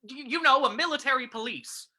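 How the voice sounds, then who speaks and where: Man playing a forceful, blustering police commissioner. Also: very high voice, a little fast, heavily acted. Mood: flustered. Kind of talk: old-time radio drama reading.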